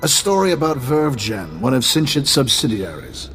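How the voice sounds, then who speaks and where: An actor playing a shady retired tycoon in a video game, guarded, brusque and condescending. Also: male voice, medium pitch, very animated, moderate pace, a bit bright. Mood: cagey. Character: wary, dismissive, shady retired male tycoon. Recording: faint game music behind the voice.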